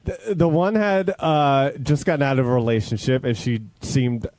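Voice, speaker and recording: A man chatting casually and defensively on a radio show, speaking haltingly and often trailing off. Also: medium pitch, a little mellow, dry recording. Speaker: radio show staffer on a wrap-up show. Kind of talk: casual, defensive personal chat. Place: radio studio.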